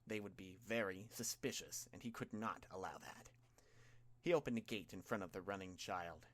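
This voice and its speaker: Low-voiced man narrating fiction. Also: normal speed, fairly bright tone. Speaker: audiobook narrator. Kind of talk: scripted fiction narration, expressive.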